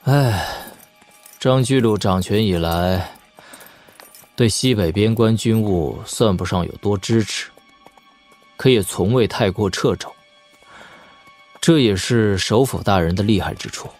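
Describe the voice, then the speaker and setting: An actor playing a shrewd, sardonic young lord in a martial-arts audio drama, expounding wryly at length. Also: male voice, fairly low pitch, unhurried, pauses often, darkish tone. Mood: measured, musing. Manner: wry, expounding at length, with snorts and sighs. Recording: music behind. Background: background music.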